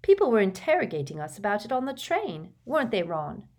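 Woman as a teenage schoolgirl, storybook character, bright, anxious, opinionated, earnest. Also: medium pitch, big pitch swings, voice pushed up. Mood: inquisitive. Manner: earnest, quick to react.

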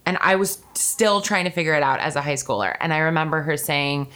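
A young adult woman hosting a podcast from home, with a low voice, chatting in a bubbly, talkative way and laughing easily. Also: fairly bright tone. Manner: bubbly, chatty, quick to laugh, says "like" a lot. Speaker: podcast host. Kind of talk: casual chat.

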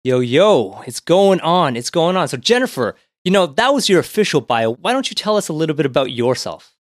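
A man's voice: on the high side, very animated, a little fast, no room sound, clean studio sound. A man hosting a podcast, informal and affirming as he interviews in a casual way. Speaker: podcast host.

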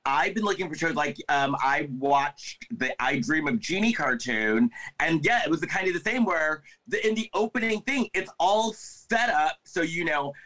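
A man with a fairly high, bright, forceful voice, chatting loosely as a podcast co-host. He rambles, circling back with fillers and restarts before landing his point. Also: animated, moderate pace, few pauses, poor audio. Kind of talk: casual chat.

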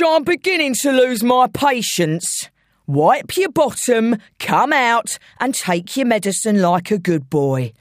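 A menacing London crime boss performed by a woman reading a story. He draws out his words slowly and taunts with false politeness. The sound is close and dry. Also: medium pitch, dry recording, lightly acted. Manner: slow, taunting, mock-friendly. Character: menacing male London gangster, mockingly polite ringleader.

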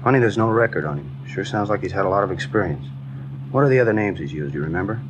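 A fairly low male voice as an earnest new detective partner in a vintage radio police drama. He talks quickly in clipped, casual questions, over clear background noise. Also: animated. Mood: inquisitive. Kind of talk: 1950s radio drama acting. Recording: noisy 1950s radio recording, ambience.

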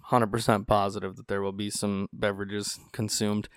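A man's voice: lowish voice, normal speed, no room sound, clean studio sound. A man from North Dakota hosting a podcast, telling stories in casual chat and acting out the people he quotes. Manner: animated, acts out quoted voices. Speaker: podcast host. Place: small studio. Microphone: studio podcast mic.

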